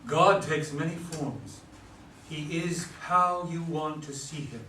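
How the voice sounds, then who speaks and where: A man's voice: fairly high pitch, slow pace, bright, forceful tone. An actor in a stage play reading, playing a solemn, delusional young man who speaks like an oracle, in an echoey room away from the mic. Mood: grave, prophetic. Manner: solemn, oracular, repetitive. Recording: roomy, distant mic, faint noise.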